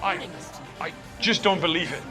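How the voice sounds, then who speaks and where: An anxious, brainy male ghost hunter played by a man in a motion comic. He is earnest and technical, speaking in a somewhat high voice over clearly audible music. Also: normal speed, close to an everyday voice. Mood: horrified. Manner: precise, technical, alarmed. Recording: loud music behind.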